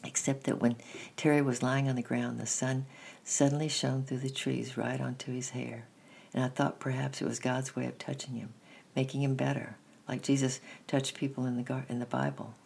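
A reader voicing an earnest young girl who shares her secret, read in a gentle storybook style with a creaky, husky voice. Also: medium pitch, little pitch movement, normal speed.

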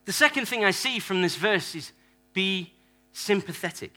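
Bright-voiced animated preacher man. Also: high voice, normal speed. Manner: animated, playful, acts out church members. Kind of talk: sermon with audience props. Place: church hall.

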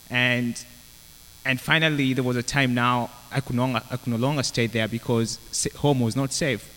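A young man with a Kenyan accent giving a personal testimony in a halting, reflective way. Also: medium pitch, fairly bright tone. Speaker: university student sharing a testimony. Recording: reverberant hall with humming noise.

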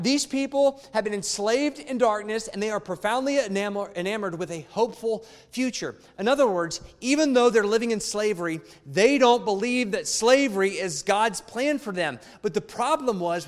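A male pastor with a notably high voice preaches in a church whose long reverb trails after his words. He is emphatic and conversational, hammering key phrases through repetition and tossing quick asides to the congregation. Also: expressive. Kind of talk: sermon. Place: church sanctuary.

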